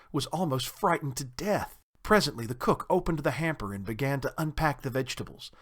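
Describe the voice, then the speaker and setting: A man narrating a children's story in an expressive, dramatized storytelling manner. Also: mid-range voice. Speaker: audiobook narrator.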